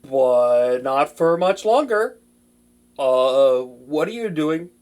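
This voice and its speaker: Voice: medium pitch, animated, slow pace, a little mellow. A performer voicing an earnest, flustered young wizard in an intimate fantasy audio drama, chatty and prone to anxious stammering.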